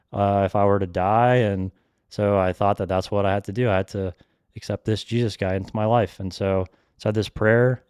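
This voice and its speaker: A man's voice: on the low side, a little mellow, smooth, no room sound, clean studio sound. A man in his twenties from Georgia, hosting a solo podcast and earnestly sharing a personal testimony in a reflective, storytelling way with frequent ums. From Georgia, United States. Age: 20s. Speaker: podcast host.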